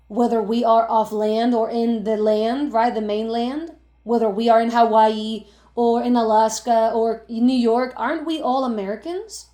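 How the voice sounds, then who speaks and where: A woman's voice: a little high, darkish tone. Emphatic Cuban-born woman, echoey room. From Cuba. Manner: emphatic, rhetorical questions, frequent "right?". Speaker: vlogger. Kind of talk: opinion monologue to camera.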